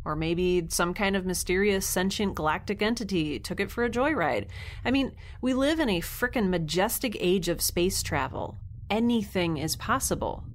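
A woman's voice: medium pitch, lively intonation, moderate pace, a little hiss or hum, natural voice. An actress playing a sarcastic young woman stranded in space, recording an audio log. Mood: defensive. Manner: wry, sarcastic. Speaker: narrator of her audio log. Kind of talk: audio drama acting.

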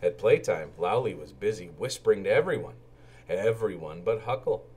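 Male children's storyteller, animated read-aloud delivery with wide-ranging intonation. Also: fairly low pitch, moderate pace, slightly rough. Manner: animated, acts out character lines. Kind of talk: expressive children's storybook narration.

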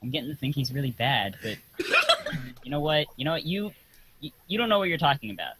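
A woman voicing an earnest, anxious young boy in an improvised cartoon parody, husky and hesitant. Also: low-pitched, expressive, quick pace, fairly bright tone, exaggerated voice, poor audio. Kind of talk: improvised comic cartoon fandub.

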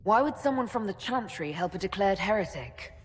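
A woman's voice: mid-range voice, lively intonation, brisk, in a large hall, lightly acted. An actress playing a wry female hero who talks in a dry, sarcastic way.

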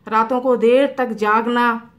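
A middle-aged woman homeopathic doctor, explaining remedies to camera in an unhurried way with many fillers, in a small room. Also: on the high side, steady tone, a bit bright. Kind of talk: health advice talk to camera. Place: small indoor room by a curtained window.

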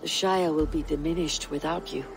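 An actress playing a stoic, thoughtful Viking warrior woman in a video game, husky, grave and measured. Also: low-pitched, fairly even intonation, over music, low-quality recording. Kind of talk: video game dramatic acting.

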